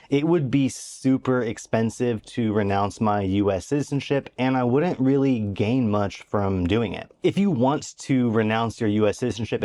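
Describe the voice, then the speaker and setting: American guy from oklahoma, middle-aged, talking through a vlog script, dry and matter-of-fact, mid pitch. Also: a little mellow. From Oklahoma, USA. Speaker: vlogger.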